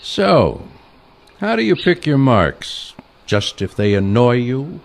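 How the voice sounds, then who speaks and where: Man acting a slow, wry assassin mentor. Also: mid-range voice. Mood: skeptical. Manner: deadpan sarcasm. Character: old-school assassin mentor, skeptical and wry. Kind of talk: comic audio play dialogue.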